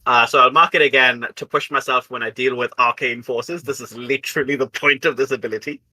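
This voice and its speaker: A man's voice: medium pitch, fairly even intonation, fairly quick, sharp tone, poor audio. A man playing a tabletop roleplaying game, talking casually and reasoning aloud as he goes.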